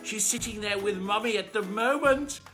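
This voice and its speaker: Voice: medium pitch, normal speed, a bit bright, faint music behind, natural voice. A performer voicing a pompous aristocratic cat lord, gushing theatrically and affectionately as host of a live bedtime story show. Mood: excited, grand. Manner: pompous, gushing, luvvie exclamations. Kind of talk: theatrical livestream greeting and shout-outs.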